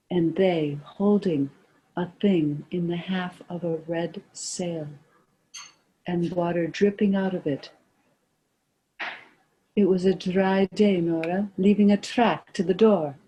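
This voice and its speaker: A woman's voice: lowish voice, dark tone, a little hiss or hum, rough recording. A woman playing a grieving, weary old mother in a lyrical, lamenting stage drama performance. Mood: haunted recollection.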